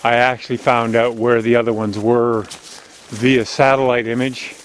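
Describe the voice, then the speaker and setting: A man with a strong, loud voice hosting a walking video outdoors on an overgrown logging road, chatty and rambling as he narrates, recorded on a handheld camera. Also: medium pitch, little pitch movement, moderate pace, a little hiss or hum, rough recording. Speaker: channel host. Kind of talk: casual walking vlog narration. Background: faint outdoor nature ambience.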